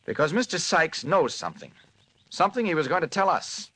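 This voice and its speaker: An actor playing an earnest, determined American reporter in a 1940s radio drama, brisk, probing and firm. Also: male voice, fairly high pitch, very animated, bright, forceful tone, slightly rough, exaggerated voice. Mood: earnest, explaining. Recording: noisy vintage radio recording.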